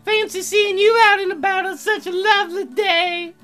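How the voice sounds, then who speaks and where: Man doing a genteel frontier lady, very high, bright, sugary, flirtatious, game character. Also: fairly even intonation, moderate pace, faint music behind.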